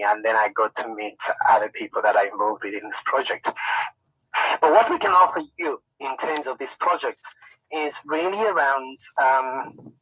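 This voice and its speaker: A man's voice: medium pitch, fairly bright tone, dry recording, poor audio. Middle-aged Zambian man giving a talk. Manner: friendly, informal, with frequent fillers and restarts. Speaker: guest speaker, university department head. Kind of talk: unscripted presentation.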